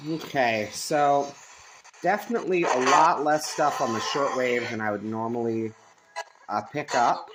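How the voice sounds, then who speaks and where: An American man giving a casual, unscripted review, thinking aloud with hesitant pauses. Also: medium pitch. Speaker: radio reviewer. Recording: clear radio static and hiss behind. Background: shortwave radio static and stations.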